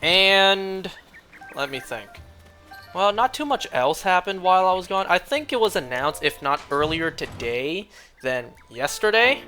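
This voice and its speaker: A young man streaming a game, chatting casually and rambling, clearing his throat often as he is losing his voice. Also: sits high, lively intonation, measured pace, sharp tone, soft background music.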